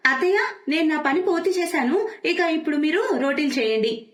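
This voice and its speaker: A woman's voice: high voice, expressive, a little fast, reverberant, pitched above the performer's own voice. A woman voicing an outspoken, put-upon young daughter-in-law with an emphatic, girlish delivery in a moral-story cartoon. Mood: resigned.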